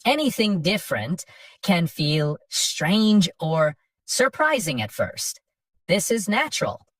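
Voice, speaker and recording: A woman narrating a scripted English lesson for learners in simple, clearly spaced sentences. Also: on the low side, very animated, slow pace, no room sound, far from the mic. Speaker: English teacher.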